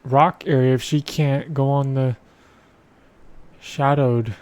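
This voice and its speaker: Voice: medium pitch, fairly even intonation, slow, darkish tone, dry recording. A gaming streamer giving casual commentary during a playthrough.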